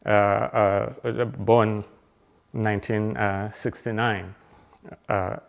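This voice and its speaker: Man in his fifties from Uganda, low gravelly voice, earnest, halting delivery full of fillers and restarts. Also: level tone. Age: 50s. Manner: halting, with many fillers, restarts and repeated words; earnest and reflective. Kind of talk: reflective personal conversation.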